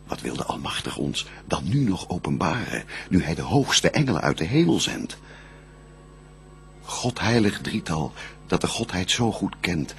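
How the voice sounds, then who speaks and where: The first man on earth, a devout bridegroom in a radio verse drama. His very low, creaky voice declaims formally and reverently, with music behind it. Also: fairly bright tone, heavily acted.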